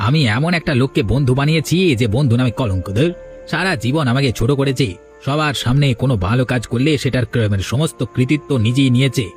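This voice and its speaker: A man's voice: mid-range voice, fast talker, soft background music, close to an everyday voice. A man voicing a resentful, timid villager turning on his friend in a cartoon, aggrieved and complaining. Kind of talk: Bengali cartoon dialogue dubbing.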